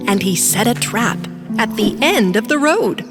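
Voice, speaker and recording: Slow, expressive woman storyteller. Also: fairly high pitch. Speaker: narrator. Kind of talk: scripted children's fairy tale narration. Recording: music behind the voice.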